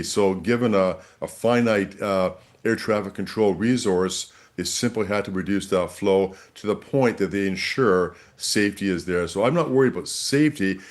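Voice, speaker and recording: A man with a fairly low voice gives analysis as an expert guest on a news program. He explains in a conversational way and repeats words for emphasis.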